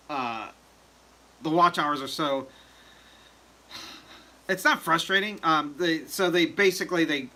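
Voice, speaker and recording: A man's voice: fairly high pitch, sharp tone. A man hosting a casual livestream chat, rambling with lots of fillers and joking with viewers. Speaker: livestream host.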